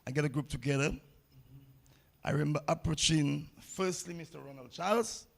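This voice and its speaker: Man, gravelly, fairly high voice, blunt ceremony speech, repeats himself for emphasis. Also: normal speed. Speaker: table tennis coach giving a speech.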